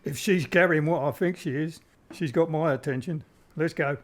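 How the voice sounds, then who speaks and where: A man plays a cocky, boozy spaceship mechanic in a radio play. He is florid and flirtatious, with a fairly high voice for a man. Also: normal speed, lightly acted. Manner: florid, flirtatious, self-satisfied. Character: jaunty, boastful male ship's mechanic who drinks. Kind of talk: amateur audio drama acting.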